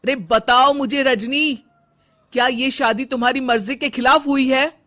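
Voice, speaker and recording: A woman's voice: high-pitched, fairly even intonation, measured pace, sharp tone, pitched above the performer's own voice. Woman voicing an emphatic, insistent young husband. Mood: confronting. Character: young husband, caring but puzzled, insistent. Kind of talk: animated moral story dialogue.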